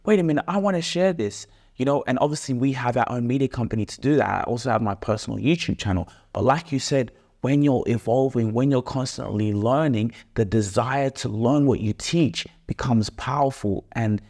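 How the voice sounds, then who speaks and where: A man's voice: medium pitch, a little fast, few pauses, darkish tone. Young man, eager podcast co-host. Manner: enthusiastic, fluent, stacks phrases with filler words. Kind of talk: casual motivational chat.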